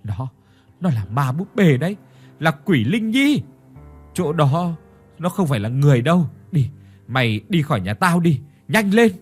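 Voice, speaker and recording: A man's voice: fairly high pitch, lively intonation, brisk, husky, faint music behind. A man voicing a terrified, hysterical middle-aged village wife who shrieks and stammers in panic.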